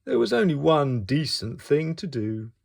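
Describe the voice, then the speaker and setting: A man reading a picture book aloud to young children as an expressive storyteller. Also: on the high side, measured pace, vocal fry, husky.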